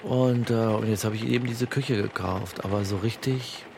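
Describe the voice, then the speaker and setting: Gravelly, rambling, clueless husband. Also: male voice, on the low side, steady tone, moderate pace, background noise. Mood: rambling, earnest. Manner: casual, rambling, hesitant. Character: plain, slightly clueless husband defending himself. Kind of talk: improvised, naturalistic radio comedy acting.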